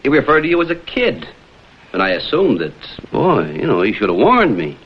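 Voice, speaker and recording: An actor playing a wry, laconic private detective in a vintage screen drama. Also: male voice, medium pitch, wildly swooping pitch, normal speed, slight background noise. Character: wry, cool private detective, adult man. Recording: dull vintage TV soundtrack, background music.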